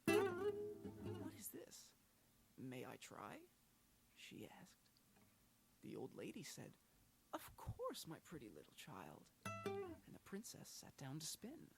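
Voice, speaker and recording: A male storyteller reads a children's fairy tale in a soft, breathy, half-whispered voice. His pitch swoops up and down with a gravelly rasp underneath. Loud plucked guitar music plays throughout. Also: rough recording.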